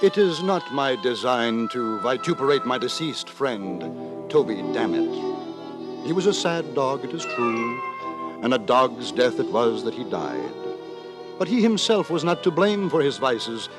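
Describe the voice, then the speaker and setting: An actor playing a fussy, pompous gentleman narrator in an old-time radio drama, speaking in florid, theatrically indignant phrases. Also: mid-range voice, big pitch swings, measured pace. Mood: mock solemn. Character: fussy, pompous gentleman narrator lamenting his wayward friend. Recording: old radio recording with loud music behind.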